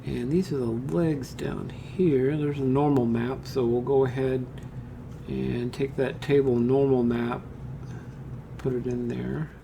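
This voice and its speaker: A man giving an informal screen-share software tutorial, talking through his steps and thinking aloud as he works. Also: medium pitch, steady tone, slow pace, creaky, a little hiss or hum. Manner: casual, thinking aloud, addresses viewers as guys. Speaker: tutorial host.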